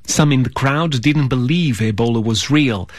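A man's voice: mid-range voice, a crowd in the background. Man narrating a serious news report. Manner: serious, measured.

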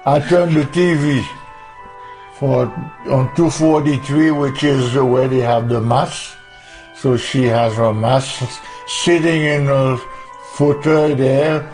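A man's voice: medium pitch, fairly even intonation, slow, a little mellow, soft background music, rough recording. A man in his eighties from Haiti with a Haitian accent, chatting playfully and ramblingly about family life at home. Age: 80s. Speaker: interviewee, father. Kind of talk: casual family chat. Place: at home, recorded by a relative.

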